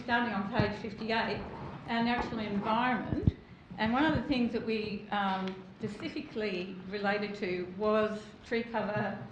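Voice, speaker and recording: A woman asking council pointed questions in a loud, direct manner at a public meeting. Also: medium pitch, noisy background. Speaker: community member asking questions. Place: council chamber. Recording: echoey muffled council chamber.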